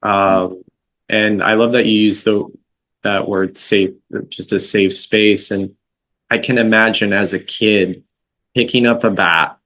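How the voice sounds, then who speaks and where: A man hosting a podcast interview, speaking slowly and gently, affirming his guest with soft fillers. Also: fairly low pitch, flat delivery, rough recording. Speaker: podcast host.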